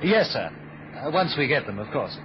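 An actor playing a polite, anxious British intelligence official with an educated accent, proper and cautious, in a 1970s radio drama. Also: male voice, mid-range voice, sing-song, normal speed, lightly acted. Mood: deferential, uneasy. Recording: dull, noisy old radio recording.

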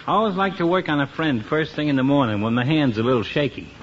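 An actor playing a slow, wisecracking small-town barber with a drawling, jokey manner, on a dull 1950s radio recording. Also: male voice, a little high, lively intonation, background noise, lightly acted. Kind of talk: 1950s radio sitcom acting.

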